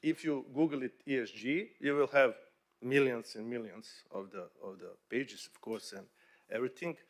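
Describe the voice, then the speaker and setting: Halting man from Bosnia, English. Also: mid-range voice. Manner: halting, many fillers and restarts, conversational. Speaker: bank executive panelist. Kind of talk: conference panel remarks.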